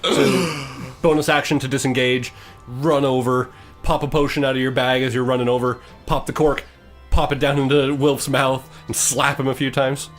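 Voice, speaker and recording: A man's voice: medium pitch, flat delivery, moderate pace. Male game master with music behind. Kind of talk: improvised narration of a tabletop game.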